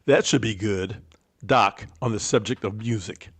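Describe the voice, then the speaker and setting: A man's voice: on the low side, a little slow, husky, no echo. An actor playing a mild, cultured male sidekick in an old-time radio drama. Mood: mild, puzzled. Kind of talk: 1940s radio acting.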